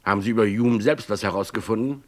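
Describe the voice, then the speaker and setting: Authoritative male police superior played by an actor, radio crime drama, quick delivery, lowish register. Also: natural voice. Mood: decisive. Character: brisk, authoritative male senior police official.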